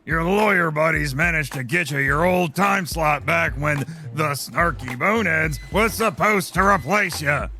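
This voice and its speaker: Guy playing a teasing swaggery pal in a radio play, bright voice, a bit high. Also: a little slow, few pauses, faint music behind. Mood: cocky teasing. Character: cocky, teasing buddy with wisecracking swagger. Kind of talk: audio drama acting.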